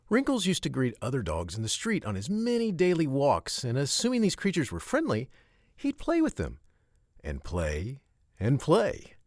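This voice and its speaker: Man, children's story narrator, very animated pitch, mid-range voice, emphatic phrasing, short pauses, dry close sound. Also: no echo.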